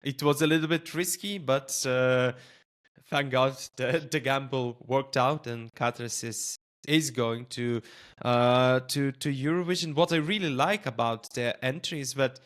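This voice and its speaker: A man's voice: mid-range voice, steady tone, a little slow, fairly bright tone. A man whose first language is Lithuanian, hosting a podcast interview in a thoughtful way with many hesitations. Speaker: podcast host.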